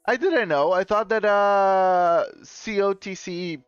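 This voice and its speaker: A man's voice: high voice, slow pace, smooth, no echo. A man chatting with his live-stream viewers in a slightly raised voice, rambling along and tripping over his own corrections with a laugh. The sound is a bit dull and lo-fi, with faint music running underneath and a dry, close feel. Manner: rambling, self-correcting, chuckling.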